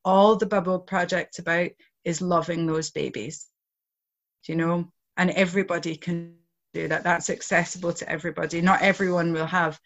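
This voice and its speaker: A woman's voice: lowish voice, steady tone, normal speed, muffled recording. A woman from outside Ireland, a project founder talking earnestly in an interview, with lots of "you know" fillers. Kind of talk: reflective interview talk.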